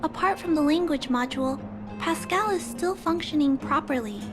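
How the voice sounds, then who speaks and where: Woman doing a sweet earnest little girl, really high voice, polite and soft, game music behind. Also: moderate pace, natural voice. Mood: earnest gentle. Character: kind, earnest young girl who fixes robots.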